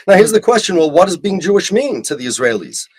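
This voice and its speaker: A man with a husky, mid-pitched voice and highly varied intonation. He talks as an interview guest making an impassioned case, stressing points through repetition and rhetorical questions. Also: very animated, fast, a little mellow. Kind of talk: impassioned argumentative interview talk.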